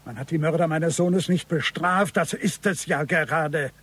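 A man's voice: fairly high pitch, moderate pace, a bit bright, breathy edge. An actor playing an embittered middle-aged father, grave and brooding, in a radio crime drama. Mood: guarded, bitter. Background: music.

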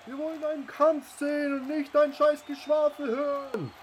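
A man voicing a rude, impatient heckler in a fight crowd, shouting coarsely in a fantasy audio drama. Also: very high voice, steady tone, moderate pace, voice pushed up. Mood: angry heckling.

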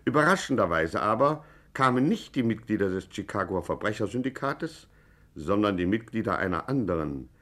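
An actor as a mock-serious documentary narrator in a vintage radio play, formal and measured. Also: male voice, lowish voice, expressive, fairly bright tone. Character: solemn mock-documentary male narrator of gangster chronicle. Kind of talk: scripted 1950s radio narration.

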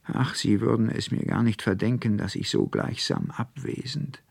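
A man's voice: on the low side, huge pitch swings, moderate pace, creaky, darkish tone, slightly rough, no room sound, pitched above the performer's own voice, exaggerated voice. A man voicing a timid, dreamy young male student who speaks in a meek, plaintive, apologetic way, as in a storybook reading. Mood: meek, pleading.

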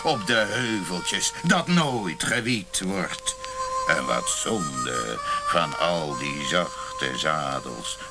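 Deep, insinuating hotel service man. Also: animated, drawn-out words, full character voice. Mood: dreamy insinuation. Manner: leisurely, suggestive, hesitating coaxing. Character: insinuating, chatty hotel service man peddling discreet company. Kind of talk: radio play acting. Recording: radio play with music behind.

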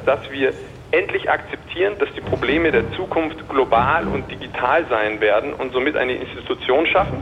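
A man's voice: medium pitch, background noise. A man giving earnest, explanatory expert commentary in everyday speech. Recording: dull lo-fi sound. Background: prominent music.

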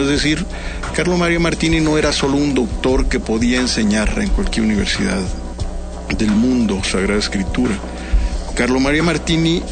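Male panelist, average pitch, earnest and emphatic, with background music. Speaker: panelist in a discussion. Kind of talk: conversational roundtable discussion.

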